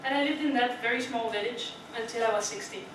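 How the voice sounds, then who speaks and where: A woman's voice: on the high side, quick pace. Dutch woman giving a speech in an echoey room. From Netherlands. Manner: chatty, asks the audience questions, often adds "right?". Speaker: club speaker. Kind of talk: prepared public speech. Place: meeting room. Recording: echoey room from far off with noise.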